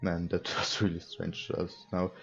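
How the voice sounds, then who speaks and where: A man's voice: sits low, monotone, measured pace, creaky. A young man, not a native English speaker, reading a visual novel aloud with casual, hesitant comments as a gaming host. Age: young adult. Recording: dull lo-fi mic, music behind. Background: game music, mouse clicks.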